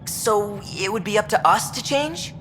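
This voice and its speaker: A hopeful, questioning colonist. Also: female voice, medium pitch, animated, unhurried, a bit bright, a little hiss or hum.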